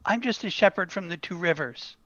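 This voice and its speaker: A man's voice: high-pitched, animated, fairly quick, sharp tone, no echo, full character voice. Casting: man to play troubled young male shepherd, earnest, dry and slightly muffled recording. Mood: troubled, resistant. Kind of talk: table read of a screenplay.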